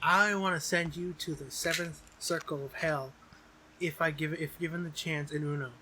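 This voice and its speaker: A man's voice: fairly high pitch, fairly even intonation, normal speed, noisy background. A young adult man co-hosting a podcast, chatting casually in slangy language with plenty of swearing. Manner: profane, slangy. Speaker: podcast co-host. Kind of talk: casual chat, banter.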